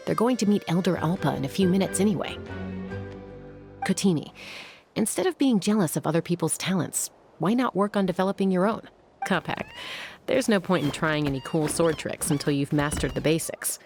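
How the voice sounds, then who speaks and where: A calm low-voiced warrior. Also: female voice. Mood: scolding. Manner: easygoing and measured. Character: calm young female warrior peacemaker. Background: game music.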